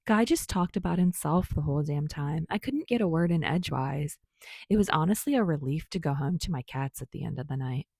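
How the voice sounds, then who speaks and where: Upbeat young barista man acted by a woman, mischievous and easygoing, lilting delivery with a little fry, for audio fiction. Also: low-pitched, animated, a little fast, mellow, dry recording, full character voice. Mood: amused, easygoing. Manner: sing-song, chirpy, playful. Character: cheerful, teasing, playful young male barista.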